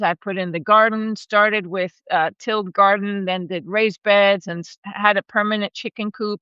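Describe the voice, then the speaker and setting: A woman's voice: mid-range voice, sharp tone, clean tone, no room sound, lo-fi sound. A woman in her forties, a regenerative rancher and educator who grew up in Argentina and Brazil, telling her story in a chatty way, laughing often and restarting her sentences. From born in Argentina, raised in Brazil. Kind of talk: casual conversational storytelling. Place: home, live video call. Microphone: video call microphone.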